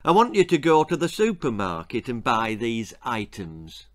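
A man's voice: mid-range voice, wildly swooping pitch, normal speed. A man voicing a cryptic, crafty old running coach in a children's storybook, evasive and commanding. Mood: bossy, smug.

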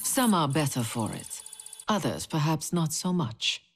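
Low-voiced, grave commander. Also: female voice, big pitch swings, soft background music, full character voice. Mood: solemn. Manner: measured, solemn, authoritative. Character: grave, commanding female leader of secret agents. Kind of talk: scripted cinematic narration and briefing.

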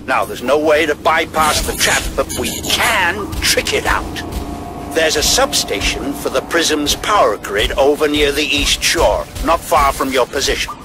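An actor playing a commanding older male handler who briefs a soldier remotely in a deliberate, authoritative way in a video game. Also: fairly high pitch, animated, music in the background. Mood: measured warning.

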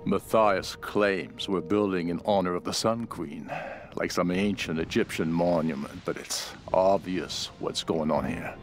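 A hardened survivor turned cult henchman in a video game. His voice is low and grim, with a world-weary, ruthless edge. He reads his journal dramatically over music. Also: measured pace. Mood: scheming. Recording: music behind the voice.

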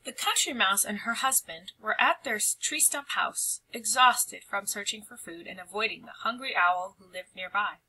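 A woman reading a children's storybook aloud in an expressive, animated and carefully phrased narration. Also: medium pitch, moderate pace, hardly any pauses, slightly rough, poor audio. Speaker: storybook reader.

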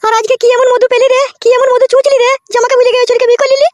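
A woman's voice: very high voice, little pitch movement, rapid-fire, darkish tone. A woman voicing a betrayed, scolding village wife in a comedy cartoon, with a rural West Bengal accent, ranting and wailing. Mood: hurt, indignant. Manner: nagging, cursing, wailing rants. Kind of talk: comedy cartoon dub, melodramatic quarrelling.

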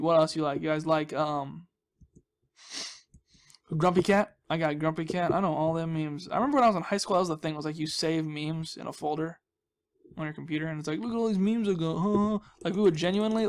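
A young man giving casual, rambling commentary as he hosts a gaming video, with a goofy, self-deprecating, mock-dramatic manner. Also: a little high.

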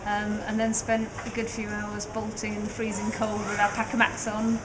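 A woman's voice: mid-range voice, monotone, normal speed, very noisy, natural voice. A woman recapping a long cave dive, upbeat but tired, with many fillers. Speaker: cave diver. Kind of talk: interview recap. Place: outdoors by a car park.

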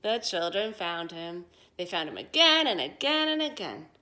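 A middle-aged woman reading a children's picture book aloud with expressive, emphatic delivery at home. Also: fairly low pitch, normal speed. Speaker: storyteller for children. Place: living room at home.

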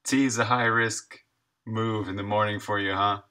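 A man's voice: lowish voice, steady tone, moderate pace, pushed and bright. A man in his thirties chatting casually and thinking aloud while he codes on a live stream, sometimes muttering to himself. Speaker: game developer live streaming.

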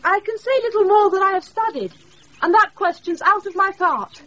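A British actress playing a quick-witted young woman disguised as a saucy page boy in a Shakespeare radio play, recorded off a wireless. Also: extremely high pitch, fairly bright tone, dull audio. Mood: earnest, teasing. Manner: saucy, theatrical, breaking into laughter.

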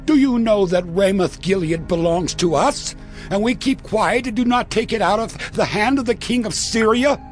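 A man's voice: high voice, normal speed, faint music behind, close to an everyday voice. A man playing an imperious, resentful king in a dramatized audio Bible, speaking in a commanding, theatrical way. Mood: rousing, urging.

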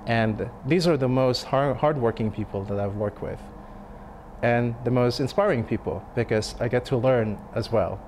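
A man in his thirties, a restaurant chef, speaking in a sit-down interview, thoughtful and halting with many fillers and restarts. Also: medium pitch, darkish tone, slight background noise. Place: dim restaurant dining room. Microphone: lapel mic.

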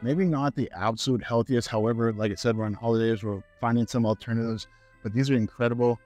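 A man's voice: medium pitch, steady tone, fast talker, dark tone, faint music behind. A man in his thirties from Canada, chatting casually to camera while walking through a grocery store on a lapel mic. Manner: chatty, upbeat, talks to camera with fillers. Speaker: fitness vlogger. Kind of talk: casual walk-through vlog.

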